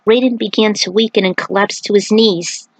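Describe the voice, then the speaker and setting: A woman's voice: medium pitch, steady tone, normal speed, dry recording, poor audio. A woman reading an action fantasy story aloud as a narrator, in a dramatic scripted style.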